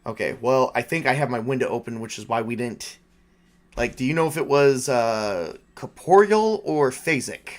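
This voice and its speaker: A man's voice: mid-range voice, expressive, normal speed, close to an everyday voice. A man playing an anxious, skeptical college student in a tabletop roleplaying game, incredulous, blunt and a bit rambling. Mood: uneasy, reasoning.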